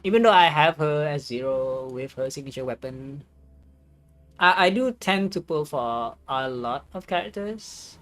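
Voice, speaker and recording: A game streamer giving casual live commentary, thinking aloud in a hesitant, halting way. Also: mid-range voice, expressive, fairly bright tone, poor audio.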